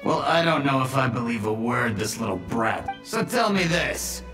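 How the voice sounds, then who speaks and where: An actor playing a hot-tempered, bullying bandit smuggler in a video game, gruff and scornful. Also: male voice, mid-range voice, big pitch swings, normal speed, lightly acted. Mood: scornful, threatening. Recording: roomy echo, clear music behind the voice.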